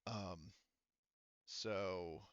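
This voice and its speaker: A man narrating a tabletop roleplaying game as game master, explaining things in a halting way. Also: low-pitched, fairly even intonation, dry recording, lo-fi sound.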